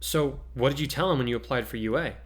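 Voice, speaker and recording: A man's voice: medium pitch, fast, fairly bright tone, close to an everyday voice. A man voicing a curious, easily alarmed teenage schoolgirl in a story reading.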